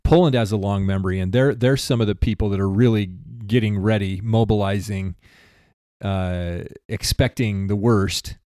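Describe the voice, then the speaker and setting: A man's voice: on the low side, steady tone, moderate pace, mellow, dry recording, studio quality. An American man co-hosting a talk show with casual, conversational commentary.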